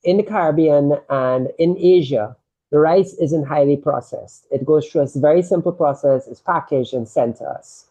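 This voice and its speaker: Guyanese man, dark explanatory voice. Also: a little high, normal speed, dull audio. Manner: explanatory, tags statements with "right?". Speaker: sports medicine doctor, guest. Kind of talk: casual health talk.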